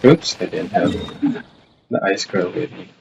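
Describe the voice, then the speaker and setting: Guy streaming a game, lowish creaky voice, muttering offhand, game music behind. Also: measured pace, low-quality recording. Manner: offhand short remarks, muttering to himself. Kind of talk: casual live gameplay commentary.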